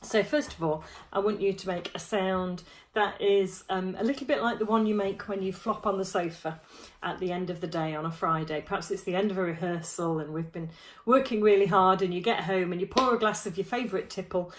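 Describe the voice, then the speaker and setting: A woman, teaching a vocal warm-up to camera from a small room at home, friendly and demonstrative. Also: medium pitch, a little fast. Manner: friendly, demonstrative, touching throat and jaw while explaining. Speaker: choir vocal coach.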